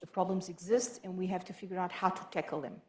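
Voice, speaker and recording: A woman gives a support group talk, hurrying through her points and often restarting sentences. She speaks as a health professional in an echoey meeting room. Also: fairly low pitch. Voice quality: quick, halting delivery with many restarts.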